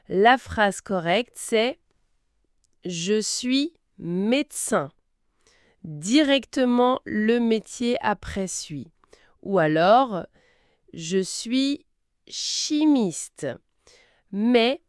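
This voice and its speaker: A woman teaches in a slow, deliberate way, pronouncing each phrase clearly so listeners can repeat it. Her pitch moves a lot as she speaks. The recording is dry and close. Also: dry recording. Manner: slow, clearly articulated, modelling phrases for repetition. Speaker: French teacher. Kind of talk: slow scripted language lesson.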